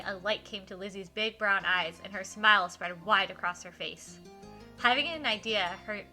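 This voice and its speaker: A woman's voice: medium pitch, pushed and bright. A woman streamer reading a visual novel aloud expressively, with casual asides to her viewers and game music behind her.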